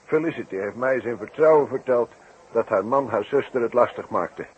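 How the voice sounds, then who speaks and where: A man's voice: mid-range voice, expressive, brisk, lightly acted. A man playing a frank circus man in a 1960s Dutch radio drama, confiding in an emphatic, matter-of-fact way. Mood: grave, confiding. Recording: dull, noisy old radio recording.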